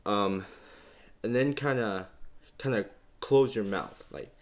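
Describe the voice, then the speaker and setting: A young man with a very low bass voice walks the listener through beatbox sounds. He talks casually and rambles a bit, leaning on fillers as he explains. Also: slow pace. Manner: relaxed, rambling, many fillers. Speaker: beatbox tutorial host. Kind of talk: casual instructional talk.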